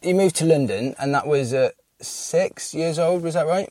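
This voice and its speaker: A man's voice: a little high, moderate pace, dark tone, no echo. A man hosting a casual conversation, often restarting his sentences. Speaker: host.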